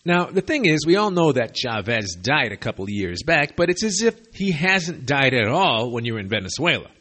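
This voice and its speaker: A man with a slight lisp delivers sardonic, rambling commentary in a lively, swooping voice that rises and falls through every sentence. He speaks as a podcast host recording on borrowed gear away from his usual studio, and he sounds casual and opinionated as he wanders off on tangents. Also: medium pitch, normal speed. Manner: sardonic, digressive, conversational. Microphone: makeshift borrowed equipment.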